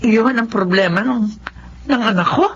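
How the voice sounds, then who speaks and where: A man's voice: sits high, moderate pace. A man playing an indignant Filipino parent in a radio drama, on a muffled recording. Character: indignant parent of a troubled son.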